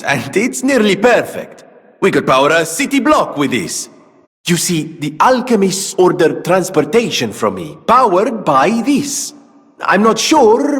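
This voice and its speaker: A vain young gentleman inventor for a video game, gracious and excitable. His phrasing is florid and theatrical, delivered at an unhurried pace with very animated intonation. The voice sits a little high and rings with long reverb. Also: exaggerated voice. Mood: proud, showy. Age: 30s. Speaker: game character voice actor.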